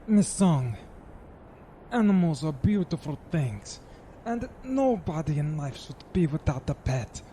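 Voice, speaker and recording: Man acting a slow, raspy, weary old man. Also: on the high side, sing-song, dark tone, a little hiss or hum. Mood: gentle, earnest. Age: older. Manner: halting, solemn. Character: dying gentle old man who loves his pets. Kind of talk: audio drama acting.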